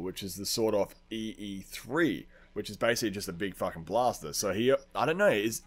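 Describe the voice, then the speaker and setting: A man hosting a podcast, chatting casually and arguing his points in a blunt, sweary way. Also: on the low side. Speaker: podcast host. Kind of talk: casual chat, debating film characters.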